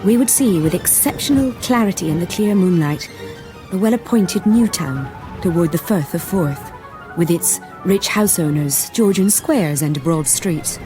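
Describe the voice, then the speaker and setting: Atmospheric storyteller, fairly low voice, deliberate dramatic phrasing, radio play narration, music underneath. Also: female voice, lively intonation, poor audio. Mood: atmospheric, ominous. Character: knowing, atmospheric female storyteller.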